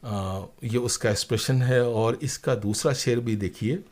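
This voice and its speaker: An older man recounting things as an interview guest, with hesitant, deliberate phrasing and emphatic points. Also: medium pitch. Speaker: interview guest, professor and former radio drama producer. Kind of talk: conversational interview, reflective recounting.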